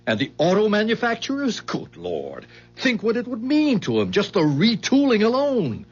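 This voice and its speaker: Pushy company boss, husky voice. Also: male voice, sits high, wildly swooping pitch, heavily acted. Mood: confident, scornful. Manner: brisk, bossy, talks in sports metaphors. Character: pushy, domineering car company boss, shrewd and grasping. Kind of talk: 1970s radio drama acting. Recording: dull vintage 1970s radio recording.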